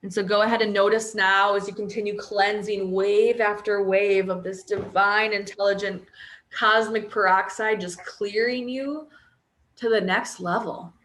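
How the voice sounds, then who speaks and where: Woman, meditation guide, unhurried and hushed, gentle delivery that sinks toward a whisper, mid-range pitch. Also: fairly even intonation. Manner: slow, soothing and soft-spoken, often near a whisper.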